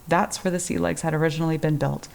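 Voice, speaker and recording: Woman acting a creaky-voiced, genteel radio-drama widow. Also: deep voice, fairly even intonation, darkish tone. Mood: nostalgic, composed. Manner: dramatic, halting. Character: genteel, anguished, subtly calculating widow in detective drama.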